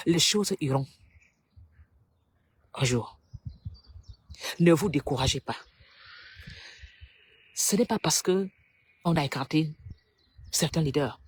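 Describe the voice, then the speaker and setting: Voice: mid-range voice, breathy edge. A speaker from Cameroon delivers a preachy, rhetorical political monologue to the public. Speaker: political commentator.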